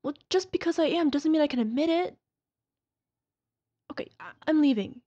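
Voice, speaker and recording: A woman's voice: high-pitched, expressive, dark tone, highs cut off. A woman acting as a toxic, gaslighting young girlfriend in a realistic ASMR roleplay, dismissive and exasperated. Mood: flustered, evasive. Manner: exasperated sighs, scornful, halting defensive delivery. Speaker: voice actor.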